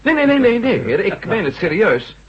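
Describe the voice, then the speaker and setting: An actor playing a shrewd former astronaut turned reporter, questioning officials in an insistent way in a radio drama. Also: male voice, sits high, sing-song, moderate pace, slightly rough, exaggerated voice. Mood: earnest. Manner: probing, insistent. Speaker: lead character. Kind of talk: 1970s radio drama acting. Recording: dull lo-fi radio recording.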